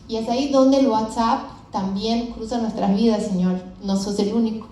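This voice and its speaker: A woman's voice: a little high, moderate pace. Woman in her fifties telling a story on stage. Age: 50s. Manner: conversational, lively storyteller. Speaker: startup founder, conference speaker. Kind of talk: conference presentation, storytelling. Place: large event hall with audience. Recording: echoey hall from a distant mic.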